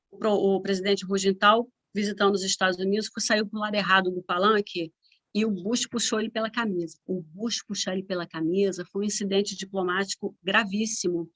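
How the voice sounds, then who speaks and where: Brief: Brazilian woman, mid-pitched, chatty conference speaker, video call. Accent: Brazilian Portuguese. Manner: lively, conversational, frequent tag questions. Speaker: guest speaker and cross-cultural consultant. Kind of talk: conference talk.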